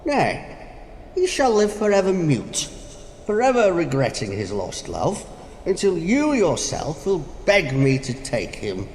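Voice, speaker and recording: An actor playing Death personified as a sly, taunting figure with grandiose, mocking declamation in a stage-like audio drama. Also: male voice, a little high, huge pitch swings, measured pace, a little mellow, full character voice. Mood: gloating, taunting. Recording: echoey hall, faint noise.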